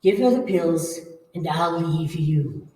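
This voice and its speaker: An actress playing a menacing, coaxing female ghost hungry for blood, slow and commanding in an old-time radio horror style. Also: low-pitched, lively intonation, mellow. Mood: urgent, goading. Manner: slow, commanding, taunting, theatrical. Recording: echoey room, far from the mic.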